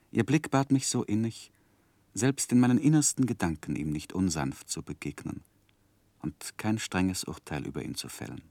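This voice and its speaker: An actor playing an earnest, sensitive man recalling his youth in a 1960s German radio drama, speaking in a measured, reflective literary manner. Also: sits low, a little mellow, no room sound.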